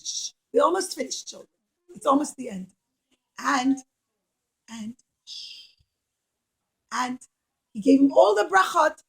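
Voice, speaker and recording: A woman's voice: high-pitched, animated, a little slow, creaky, pauses often, rough recording. A woman storyteller teaching children through a puppet show, in simple, repetitive, emphatic phrases.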